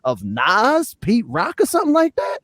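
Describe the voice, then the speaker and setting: A man's voice: high voice, wildly swooping pitch, moderate pace, a bit bright, no room sound. An American man co-hosting a hip-hop podcast, talking casually and colloquially, punctuating his points with slangy tags. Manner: colloquial, punctuates points with "man" and "you know what I'm saying". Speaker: podcast co-host.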